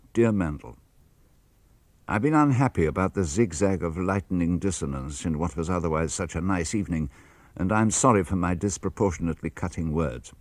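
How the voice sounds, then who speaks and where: A man reading a father's letters aloud for a radio broadcast, in a measured, expressive manner with crisp phrasing. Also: deep voice, no echo. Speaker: reader of letters.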